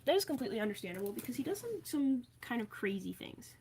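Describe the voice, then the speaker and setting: A woman's voice: on the high side, very animated, fast talker, darkish tone, slight background noise. A woman from Maryland chatting casually on a live stream, reading viewer comments aloud in a playful, chatty way. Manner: chatty and playful, with many fillers and asides.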